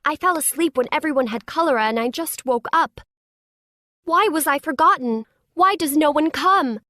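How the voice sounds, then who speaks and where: A spoiled English girl of about ten in a storybook tale. The voice is high and very dry in sound. The manner is petulant, stubborn and bossy. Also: animated, normal speed, sharp tone, dry recording. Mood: indignant. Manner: demanding, petulant. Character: selfish, sour, stubborn ten-year-old English girl. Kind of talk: children's storybook acting.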